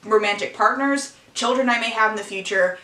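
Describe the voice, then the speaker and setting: A young woman in her late teens talking to camera in a personal vlog, earnest and emotional, halting as she speaks. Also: a little high, bright, forceful tone. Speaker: vlogger. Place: her own room. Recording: echoey room sound.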